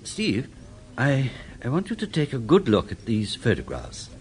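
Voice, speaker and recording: An actor playing a genial, businesslike British knight who investigates, in a radio drama. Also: male voice, medium pitch, huge pitch swings, moderate pace, slight background noise.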